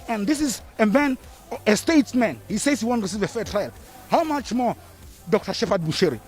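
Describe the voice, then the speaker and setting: A man speaking emphatically as a supporter outside a court. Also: high-pitched. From South Africa. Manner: emphatic, declaring. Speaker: supporter at a rally.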